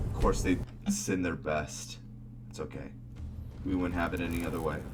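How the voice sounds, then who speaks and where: A man's voice: deep voice, steady tone, normal speed, noisy background. A man giving casual video game playthrough commentary in short remarks, as if thinking aloud. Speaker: gaming content creator. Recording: distant voice under loud game music. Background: loud game music.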